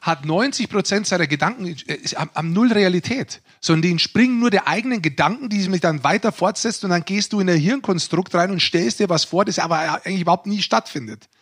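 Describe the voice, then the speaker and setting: Male podcast co-host, slightly high voice, earnest and philosophical, feeling his way through sentences. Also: lively intonation, fast, hardly any pauses, fairly bright tone, slightly rough. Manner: earnest, philosophizing, searching for words. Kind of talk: casual reflective podcast talk.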